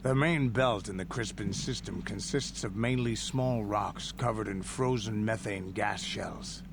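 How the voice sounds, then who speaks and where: An actor playing a proud, gruff veteran alien warrior in a video game, telling war stories in a gravelly, deliberate, boastful manner. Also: male voice, on the low side. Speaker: game character. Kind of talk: dramatic scripted video game dialogue. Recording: game audio under steady noise.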